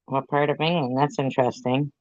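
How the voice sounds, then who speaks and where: A woman's voice: rumbling low, fairly even intonation, a little fast, dry recording. Woman reaction commentator, sarcastic dry asides, dull lo-fi recording.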